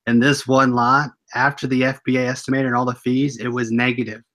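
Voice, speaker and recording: Relaxed, matter-of-fact man co-host. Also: mid-range voice, brisk. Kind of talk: casual livestream chat.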